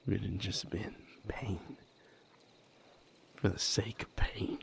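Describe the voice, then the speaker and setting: Man acting a low, whispery, anguished lover. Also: fairly even intonation. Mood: anguished, weary. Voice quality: breathy, gravelly whisper. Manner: halting, hushed, fraught delivery with long pauses. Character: anguished male lover comforting and seeking comfort, vampire romance. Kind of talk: intimate audio roleplay, dramatic acting.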